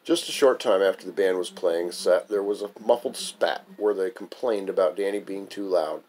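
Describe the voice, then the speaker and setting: A man's voice: low voice, normal speed, a little mellow, low-quality recording. A man reading his own memoir aloud as a wry, amused storyteller. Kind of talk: memoir recitation, narrated reading.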